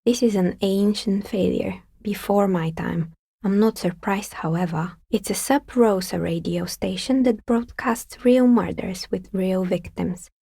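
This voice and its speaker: An actress voicing a dry, sceptical woman dicemaker in a video game, with a soft, breathy, husky voice and a wry, understated delivery. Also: medium pitch, no room sound. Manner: wry, understated, matter-of-fact. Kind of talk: video game character dialogue.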